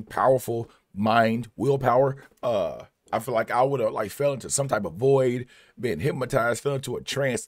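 A man in his thirties hosting a music reaction video, with a husky, strained voice from losing it over several days, talking in a laid-back, slangy way with drawn-out phrases. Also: medium pitch, dry recording, studio quality. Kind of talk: casual reaction commentary. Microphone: headphones on, desk camera. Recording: music playing faintly behind.

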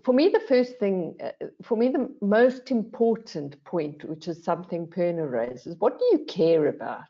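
A woman's voice: on the low side, expressive, measured pace, few pauses. An older woman speaking as a panel guest, earnest and emphatic, often posing questions to herself and adding "right?". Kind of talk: reflective discussion. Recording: dull lo-fi video call audio.

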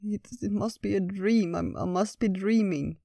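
A woman's voice: mid-range voice, moderate pace, creaky, warm tone, no room sound, clean studio sound, pitched above the performer's own voice. A woman voicing a bewildered, tearful lost girl in a fairytale, plaintive and stammering. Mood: tearful disbelief.

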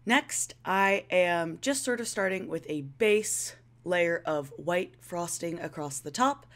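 A woman's voice: on the low side, lively intonation, slow, a bit bright. A young woman giving casual, rambling commentary as a video creator, playful and self-deprecating.

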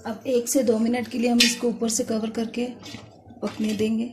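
A woman hosting a cooking video, giving calm step-by-step instructions and softly murmuring a blessing before each ingredient goes in. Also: fairly high pitch, normal speed. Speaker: cooking channel host. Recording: noisy kitchen recording with sizzling. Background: frying and sizzling in the pan.

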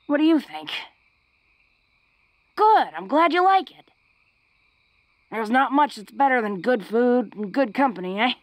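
A woman playing a big, tough young orc who is secretly awkward and tender, speaking haltingly and intimately to the listener in a romantic audio roleplay. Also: fairly high pitch, animated, no echo. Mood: friendly, hearty. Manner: bashful, halting, breaking into soft chuckles. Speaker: voice actor.

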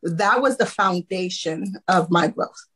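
A woman's voice: lowish voice, unhurried, slight background noise, rough recording. Woman telling her story over a video call. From Haiti, raised in Florida. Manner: warm storytelling with frequent "um" and laughs. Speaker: guest, accountant. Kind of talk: casual podcast interview. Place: home room, remote video call.

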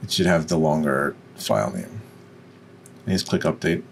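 A man with a deep voice giving an unscripted, step-by-step software tutorial. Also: expressive, normal speed, vocal fry, a little hiss or hum. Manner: instructional, step by step. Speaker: tutorial presenter.

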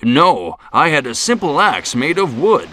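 An honest, simple lumberjack from a children's storybook speaks up loudly and earnestly, as a man narrator puts him on. His voice is bright, lively and raised above the narration. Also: a little high, a little slow, voice pushed up, full character voice.

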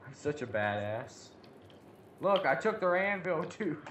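A man's voice: fairly high pitch, very animated, a little slow, a bit bright. A man playing a video game, chatting casually in slang and swearing, blurting out reactions to what he finds. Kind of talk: casual co-op gameplay chat. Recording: muffled lo-fi mic, background noise.

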